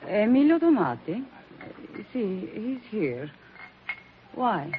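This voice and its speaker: Flirtatious tavern waitress, lilting. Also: female voice, medium pitch, sing-song, slow, background noise. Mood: inviting. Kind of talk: 1940s radio acting. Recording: muffled old radio recording with loud music.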